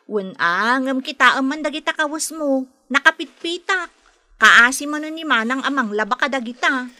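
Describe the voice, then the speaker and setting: An actress playing a frank, concerned younger sister in an Ilocano radio drama, speaking in an earnest, admonishing way. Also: female voice, high-pitched, lively intonation, moderate pace, pushed and bright, no room sound, full character voice. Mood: reproving. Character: frank, concerned younger sister scolding her drinker brother.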